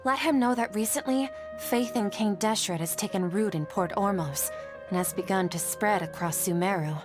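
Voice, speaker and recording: A woman's voice: mid-range voice, normal speed, over music, lightly acted. A woman playing an earnest, conflicted young female scholar in an anime video game, polite and sincere, hesitant under pressure. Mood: friendly, concerned.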